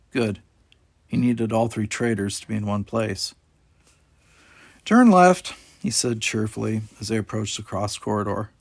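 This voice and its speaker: Gravelly man narrating a sci-fi story. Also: lowish voice, no room sound. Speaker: audiobook reader.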